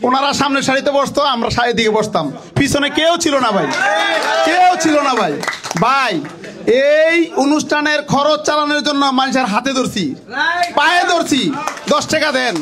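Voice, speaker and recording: A male party activist with a Bangladeshi accent gives a rousing speech. His voice is very high and emphatic, and he repeats phrases for effect. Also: normal speed, background noise.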